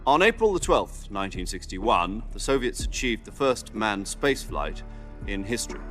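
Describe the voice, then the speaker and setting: An actor playing a seasoned, stern military commander who gives measured, authoritative briefings in a cinematic video game. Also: male voice, lowish voice, very animated, a bit bright, faint music behind.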